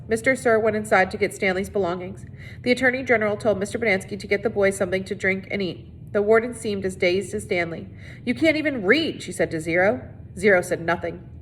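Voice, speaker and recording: A woman reading a children's novel aloud as narrator. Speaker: reader. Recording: noisy recording with low rumble.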